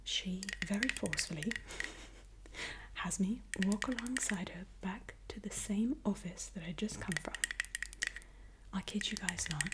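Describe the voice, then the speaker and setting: A woman tells a long personal story entirely in a soft ASMR whisper. She sits at a middle pitch for her voice and keeps the retelling chatty and animated. Clicks and a clear background hiss sit under her voice. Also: measured pace, long pauses.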